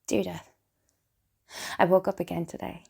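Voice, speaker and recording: A woman playing a lonely, wry, broken woman confiding in Death in an intimate, hesitant theatrical monologue, with a husky, breathy voice. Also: fairly low pitch, level tone, moderate pace, dark tone, full character voice. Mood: wry, melancholy. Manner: confessional, self-deprecating, hesitant. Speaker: writer and performer of a one-woman play.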